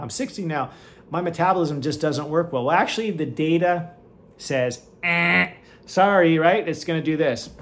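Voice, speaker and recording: Upbeat man explaining health, fairly high voice. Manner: enthusiastic, chatty, asks "right?" often; moving around and lifting weights while talking. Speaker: podcast host, physician. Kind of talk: casual health podcast explanation. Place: home office at a standing desk.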